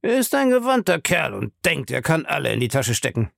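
A man voicing a shady, pushy male schemer in an audiobook, insistent, ironic and scornful, with a gravelly voice. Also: on the high side, wildly swooping pitch, fairly quick, fairly bright tone, no echo, clean studio sound, voice pushed up, exaggerated voice. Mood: ironic, scheming. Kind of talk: audiobook character dialogue.

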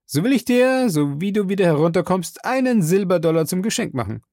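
A reader voicing an excitable, obsessive man who calls out commands in an urgent voice. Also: medium pitch, fairly quick, dry recording, clean studio sound, voice pushed up. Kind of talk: audiobook character voice.

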